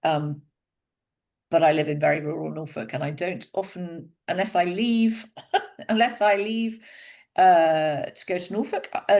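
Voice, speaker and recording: A middle-aged woman, sharing openly as a workshop participant with halting restarts. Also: on the low side, creaky, a little mellow. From Wales. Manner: chatty, halting restarts, light laughs.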